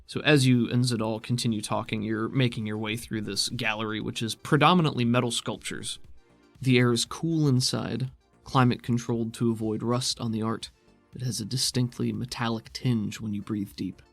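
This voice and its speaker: A man working as game master, narrating scenes in a measured, descriptive way. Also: medium pitch, fairly even intonation, vocal fry, a bit bright, no echo, soft background music. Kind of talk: narrating a tabletop roleplaying game.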